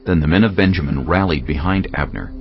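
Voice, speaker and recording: A man's voice: extremely low pitch, brisk. A man narrating a dramatized Bible as a scripted storytelling reading. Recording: dull lo-fi audio with music behind. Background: faint music.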